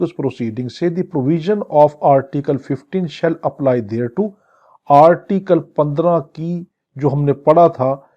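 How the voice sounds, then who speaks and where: A man giving a law lecture, reading English statute lines slowly and then explaining them. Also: a little high, dark tone, dull audio.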